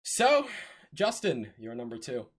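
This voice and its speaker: A young man co-hosting a podcast, giving his measured opinion in casual chat. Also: medium pitch, wildly swooping pitch. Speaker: podcast co-host. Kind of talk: casual music review chat.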